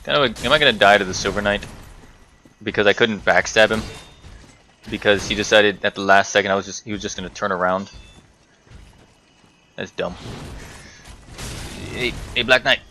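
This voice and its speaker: Bright-voiced man doing gaming commentary. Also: on the low side, normal speed, pauses often, a little hiss or hum. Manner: rambling, talks to himself and to game characters. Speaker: gaming video host. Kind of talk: casual video game playthrough commentary.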